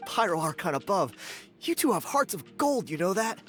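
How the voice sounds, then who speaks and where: A man voicing a friendly, eager, talkative young adventurer in a video game, with a colloquial, animated delivery. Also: high voice, moderate pace, over music. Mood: amazed, grateful.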